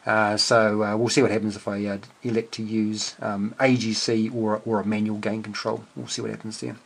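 A man's voice: on the low side, level tone, brisk, creaky, husky. A middle-aged man explaining a hobby project casually at his desk, unscripted, hesitant and understated as he thinks aloud. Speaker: amateur radio hobbyist and video host. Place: small indoor room at a cluttered desk workbench.

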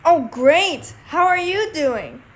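A woman's voice: high-pitched, animated, slow. A woman playing a polite, slightly bored call-in radio host in a video game.

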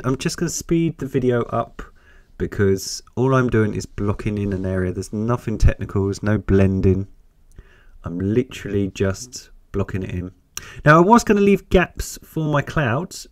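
A man with a cold, croaky and deep, chatting through a step-by-step painting lesson with an encouraging manner. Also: a little mellow, dry recording. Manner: chatty, encouraging, thinks aloud while painting. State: hoarse with a cold. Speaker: painting teacher.

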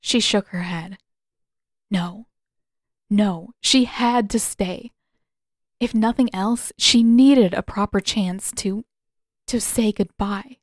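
A woman reading scripted fiction aloud as a narrator, with expressive, dramatic delivery. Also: mid-range voice, slow pace, pauses often, dry recording, studio quality. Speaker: audiobook narrator of fan fiction.

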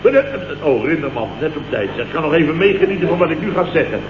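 A man's voice: on the high side, expressive, fast, lo-fi sound, lightly acted. Casting: male actor as boastful, exclamatory boss for old radio comedy; echoey, noisy vintage sound. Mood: indignant. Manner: rambling, exclamatory, full of stammers and interjections. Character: blustering, boastful, weary male boss and amateur night watchman. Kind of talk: 1970s Dutch radio comedy acting.

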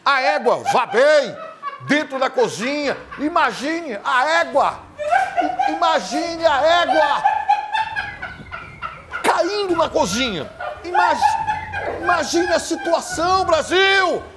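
An older man hosting a TV news show, presenting in a loud, theatrical way, laughing and shouting to the camera in a studio. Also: very high-pitched, big pitch swings, slow pace, long pauses, heavy background noise.

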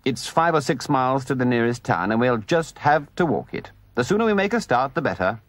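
A man's voice: medium pitch, very animated, a little fast, no room sound, lightly acted. A man doing a stern, hot-tempered water rat. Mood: firm. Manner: indignant, firm. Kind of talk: storybook reading.

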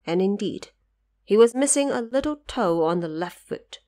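A woman reads as the narrator of an audio drama. Her voice sits at a middle pitch, and she tells the story in a scripted storytelling style. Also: animated, normal speed, warm tone.

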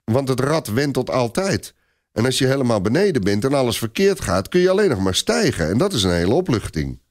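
A man's voice: medium pitch, sing-song, fairly quick, pitched above the performer's own voice. A man voicing a stubborn, eager young girl who explains things in a cocksure, instructive way in a storybook reading. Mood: reassuring.

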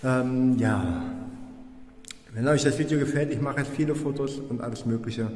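Young man, mellow and echoey. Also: mid-range voice, moderate pace. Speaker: vlogger filming alone. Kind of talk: casual spoken video tour. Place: empty museum rooms and corridors, indoors. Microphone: handheld phone camera. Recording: echoey with long room reverb.

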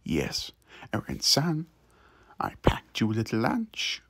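A man voicing a stern older demon boss and father in an audio roleplay, deliberate and authoritative. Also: lowish voice, wildly swooping pitch, gravelly, a bit bright, slightly rough, dry recording, whispered, voice pushed down, heavily acted. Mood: grave, fatherly. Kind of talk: ASMR audio roleplay acting.

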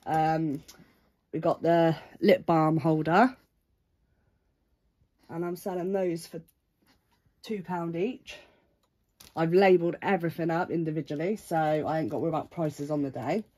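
A woman's voice: low voice, steady tone, unhurried, pauses often, warm tone. A woman with a stuffy, bunged-up voice from a cold, chattily showing off her handmade crafts and listing the price of each. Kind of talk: casual show-and-tell vlog. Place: indoors at a craft table.

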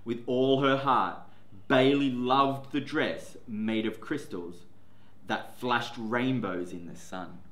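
A man in his forties, a teacher, reading a picture book aloud to children, seated in a classroom. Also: mid-range voice. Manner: slow, expressive storytelling. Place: classroom, indoors. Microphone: camera in front, seated. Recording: echoey classroom.

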